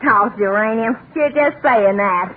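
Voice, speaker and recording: A woman's voice: a little high, a little slow. An actress playing a brash, vain, man-chasing Southern woman with a folksy, emphatic delivery in 1940s radio comedy style. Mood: boastful, teasing. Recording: noisy, tinny old radio broadcast with audience.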